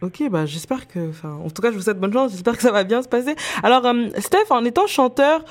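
A woman's voice: fairly high pitch, lively intonation, brisk. A woman working as a radio host, asking questions in a conversational interview style.

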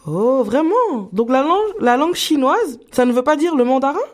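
A woman hosting a radio segment, asking guiding questions in a lively, friendly way. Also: high voice, moderate pace. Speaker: radio host.

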